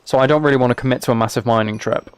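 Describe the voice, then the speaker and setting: A man's voice: medium pitch, fairly even intonation, rapid-fire, clean tone. A man live streaming a video game, chatting casually and thinking aloud in an understated, rambling way. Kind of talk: casual gameplay livestream chat. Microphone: microphone set up in front of him.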